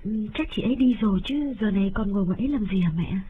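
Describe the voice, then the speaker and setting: A man voicing a frightened, grieving young village girl in a high falsetto, with a tearful, pleading manner. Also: animated, very fast, slight background noise. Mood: uneasy. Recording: lo-fi with music behind.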